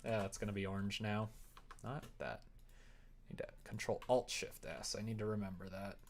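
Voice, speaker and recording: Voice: sits low, fairly even intonation, moderate pace, a little hiss or hum. A livestreamer chatting casually and muttering to themselves while working, in an informal, self-deprecating way. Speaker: live streamer.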